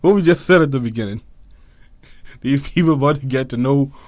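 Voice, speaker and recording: A young man co-hosting a podcast, hype and animated, teasing his co-host and laughing often. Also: mid-range voice, brisk, dry recording. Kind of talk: casual chat.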